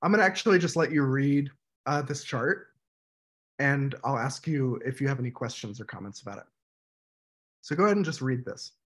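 A man in his thirties leading an online workshop on a video call, explaining points in a measured way while his voice goes hoarse. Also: mid-range voice, lively intonation. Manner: measured, explanatory, says "right?" often. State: losing his voice, clearing throat. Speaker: workshop facilitator. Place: room at home, indoors.

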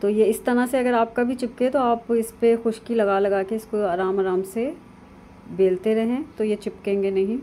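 A woman, calmly narrating a cooking lesson step by step over video of her hands at work. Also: on the high side, moderate pace, slight background noise. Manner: calm, instructive, with frequent fillers. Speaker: cooking channel host. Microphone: voice-over over hands-only video.

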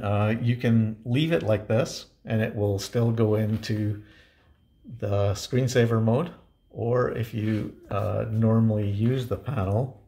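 A man explains a home tech project informally. His voice sits on the low side with a lot of creaky fry. He talks in halting, hesitant phrases, like an amateur tutorial presenter. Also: dark tone.

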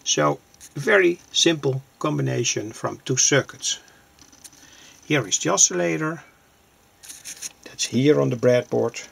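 A man with a husky, gravelly voice gives a hands-on technical explanation. He speaks very slowly, phrase by phrase, in a plain and halting way, like a hobbyist presenting his own work. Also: medium pitch, lively intonation. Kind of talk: hands-on circuit demonstration, explanatory. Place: small cluttered indoor electronics workbench.

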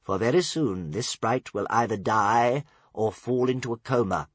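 A man voicing a grave, wise storybook advisor, solemn, admonishing and gently scolding. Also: mid-range voice, normal speed, husky, no echo, pitched above the performer's own voice, lightly acted. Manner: solemn, admonishing, rhetorical repetition.

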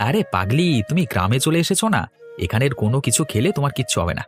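A man's voice: medium pitch, very animated, quick pace, close to an everyday voice. A man voicing an affectionate, easygoing grown son in a Bengali cartoon story, with a Bengali accent. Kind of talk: Bengali animated story voice acting. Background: plucked-string music under the voice.

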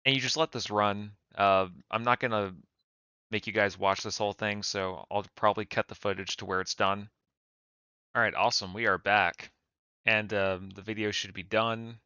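A man's voice: lowish voice, fairly even intonation, moderate pace, sharp tone, clean tone, dry recording, muffled recording. A man talking informally through a casual how-to screen-capture video. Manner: informal, conversational. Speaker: tutorial video maker.

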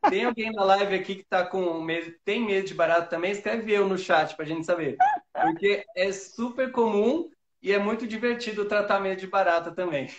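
A man's voice: sits high, moderate pace, dull audio. A Brazilian man around forty hosting a casual live interview and explaining things to viewers. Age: 30s or 40s. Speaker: host, therapy course instructor. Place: indoor room. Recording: roomy, echoing call audio.

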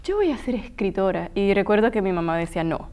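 A woman's voice: medium pitch, expressive, fast talker, darkish tone. Earnest woman author. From Puerto Rico. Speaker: guest author. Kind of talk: TV studio interview. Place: small TV studio. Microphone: lapel mic.

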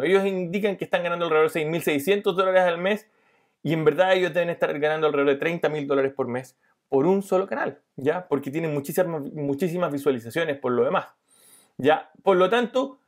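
Brief: middle-aged male online coach, slightly higher voice, explanatory tutorial delivery. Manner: explanatory, with fillers and restarts. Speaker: YouTube money-making coach. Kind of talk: screen-share tutorial.

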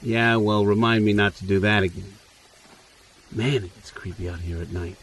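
A man's voice: on the low side, fairly even intonation, normal speed, fairly bright tone, a little hiss or hum. A man playing a reluctant, grumbling video analyst friend in an audio drama, with a wry, complaining manner. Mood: grumbling, uneasy.

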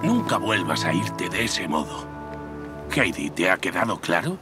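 Casting: protective elderly grandfather, cartoon. Firm, grave, commanding, with lively intonation. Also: low-pitched, measured pace, music in the background. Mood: stern, worried. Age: older. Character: stern, protective old mountain grandfather. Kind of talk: animated series dub acting.

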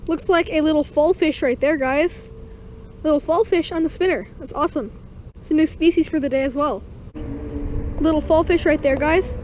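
A fishing vlogger with a high, bright-pitched voice calls out catches with upbeat excitement, talking straight to the viewers. The sound comes from an action camera worn on the body at a creek bank outdoors. The audio is dull and a bit muffled, with faint background noise. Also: moderate pace. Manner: upbeat, keeps calling the viewers guys. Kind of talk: excited first-person fishing commentary.

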